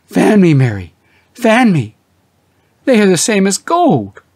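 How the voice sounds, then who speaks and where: A man's voice: high-pitched, wildly swooping pitch, slow, a little mellow, husky, dry recording, pitched above the performer's own voice, full character voice. Man as older husband, audiobook character, anxious, weary, fretful, exclaiming, dry recording. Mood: agitated. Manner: agitated, exclaiming, muttering.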